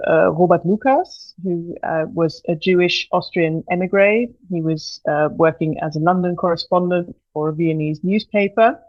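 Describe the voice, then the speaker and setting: A woman's voice: fairly low pitch, no echo. Articulate woman scholar, dry muffled sound. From Germany. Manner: articulate, measured, explanatory. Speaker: guest scholar. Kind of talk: academic interview answers.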